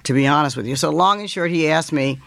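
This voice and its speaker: A woman in her fifties with a deep voice, a guest author telling stories from her student days in an animated way. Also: fast, vocal fry, no echo, studio quality. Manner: animated, quotes others in lively voices, laughs while telling. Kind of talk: casual storytelling interview.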